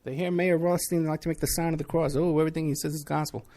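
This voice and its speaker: A man's voice: on the high side, steady tone, fast talker, dark tone. A man from New City, hosting a talk radio show in a rambling, sarcastic monologue. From New York City. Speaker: radio show host, private investigator.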